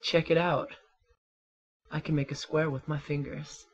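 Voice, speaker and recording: A man's voice: fairly high pitch, level tone, a little slow, close to an everyday voice. Man as a smarmy, vain artist, slightly muffled. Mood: smug. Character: vain, lecherous male artist, smarmy and awkward. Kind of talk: comedic anime parody dub.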